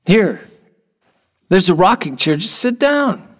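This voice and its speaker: A middle-aged oddball workman in a radio play, playful but lonely. He talks slowly and haltingly, and his pitch swings widely. He is whimsical and earnest. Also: very animated, full character voice. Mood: soothing. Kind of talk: audio drama acting.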